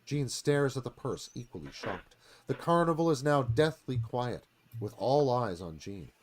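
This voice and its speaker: Animated male screenplay narrator. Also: mid-range voice, measured pace, a little hiss or hum. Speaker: narrator reading stage directions. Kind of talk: dramatic scripted narration.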